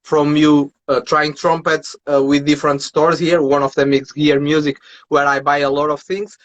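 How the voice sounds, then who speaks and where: A man's voice: on the high side, level tone, moderate pace, muffled recording. A man with a Portuguese accent hosting an interview, speaking English as a second language in a friendly way. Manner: friendly, slightly non-native phrasing. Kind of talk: conversational interview.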